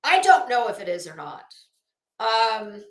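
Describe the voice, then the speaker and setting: Female livestream host, high register, blunt and sweary, halting emphasis, recorded in a roomy, echoey space. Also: very animated. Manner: blunt, profane, opinionated. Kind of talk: casual livestream commentary.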